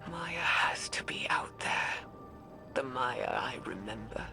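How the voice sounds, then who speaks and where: An actress playing a weary, guilt-ridden old woman scientist recording a log in a frail, breathy voice for a video game. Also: extremely low pitch, animated, in a whisper, full character voice. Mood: weary, yearning. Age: older. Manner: slow, weary, solemn. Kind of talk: dramatic recorded log monologue. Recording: music behind the voice.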